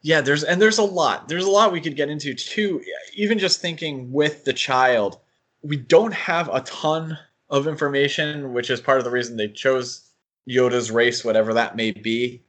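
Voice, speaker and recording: Guy podcast host just chatting, medium pitch, kinda thinks out loud as he goes. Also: moderate pace. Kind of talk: casual fan discussion.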